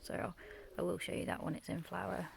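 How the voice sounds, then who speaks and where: A woman from the UK, croaky from just waking up, giving a quiet, half-whispered tour of her back garden in the early morning. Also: fairly low pitch, level tone, a little fast. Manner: hushed, half-whispered talk. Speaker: gardening vlogger. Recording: noisy outdoor recording with birdsong.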